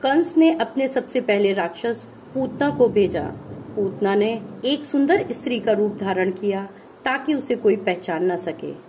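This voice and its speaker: A woman narrating a scripted puppet show for children, delivering the story deliberately, phrase by phrase. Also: mid-range voice, animated, noisy background.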